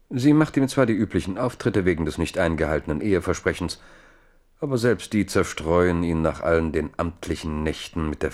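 An actor as the grave, knowing narrator of a historical radio play. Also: male voice, sits low, a little mellow, natural voice.

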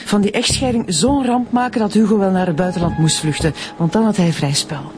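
A woman's voice: mid-range voice, fairly quick, over music, lightly acted. An actress with a Flemish accent playing a suspicious, bitter woman giving gossipy testimony in a radio drama. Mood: bitter, confiding. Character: suspicious, bitter female witness sharing gossip.

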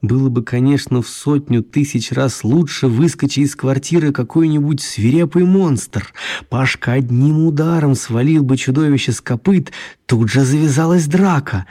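A man's voice: mid-range voice, normal speed. A man narrating a children's radio play. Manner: even, storytelling. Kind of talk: scripted storybook narration.

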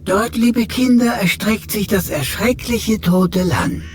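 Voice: medium pitch, lively intonation, slow, slight background noise. An actor playing a chatty, cheeky talking computer in a radio play, with flowery, mock-archaic, servile and theatrical speech. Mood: mock-solemn.

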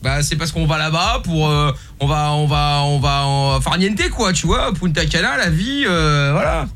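A radio host making a prank call, playing a cheeky, provoking stranger with hesitant fillers. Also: male voice, fairly high pitch, normal speed, a bit bright, soft background music. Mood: cheeky, mocking. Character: cheeky smug man posing as a stranger.

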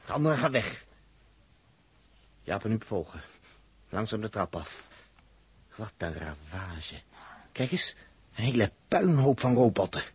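An actor playing an anxious space crewman in an old radio drama, commenting in halting exclamations. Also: on the low side, sing-song, slightly rough, full character voice. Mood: dismayed. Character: anxious crewman watching and reporting on comrades. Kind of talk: 1960s radio drama acting.

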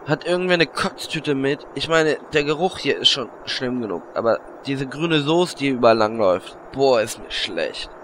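A man's voice: mid-range voice, animated, moderate pace, few pauses, a bit bright, natural voice. A man playing a whiny, lewd, quarrelsome hero in a fantasy parody audio drama, prone to exaggerated complaining. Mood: disgusted, exasperated. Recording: background noise.

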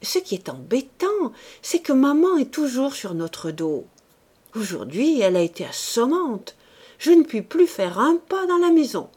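Woman reader voicing a shrill, loud, greedy woman; high and swooping. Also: big pitch swings, measured pace, slightly rough, full character voice. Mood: gloating. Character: brash, greedy woman, tipsy and gloating.

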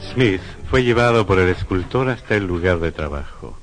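A man narrating a suspense radio drama in a solemn, literary, measured manner. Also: sits low, animated. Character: solemn male narrator of eerie suspense tale. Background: music.

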